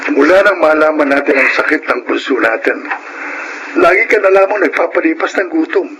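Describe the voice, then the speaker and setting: An actor playing a supportive middle-aged husband who calmly reassures his wife in a radio drama. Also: on the high side, fairly quick, a little hiss or hum, lightly acted, low-quality recording. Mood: reassuring. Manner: calm, reassuring. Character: steady, supportive husband and father. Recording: music playing underneath.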